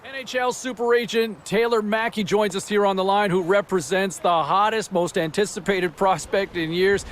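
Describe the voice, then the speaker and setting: An actor playing an upbeat, chatty sports radio host interviewing guests in a video game. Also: male voice, high-pitched, steady tone, normal speed, few pauses, natural voice. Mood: upbeat, inquisitive.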